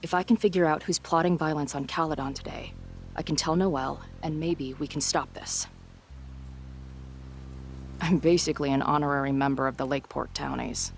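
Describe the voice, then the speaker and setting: A woman's voice: sits low, fairly even intonation, moderate pace, gravelly, a little hiss or hum. A woman playing a brash, sardonic young punk woman with a tender side in a video game drama, blunt and casual. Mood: determined. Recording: studio voice under background music.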